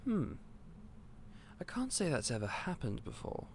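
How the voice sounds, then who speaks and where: Male actor as a gentle, eccentric magical shopkeeper; slow delivery, animated intonation. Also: a little high, gravelly, slight background noise. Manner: gentle, polite, excitable and a little scatterbrained. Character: eccentric otherworldly male candy store owner. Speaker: audio roleplay performer. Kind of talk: scripted audio roleplay to the listener.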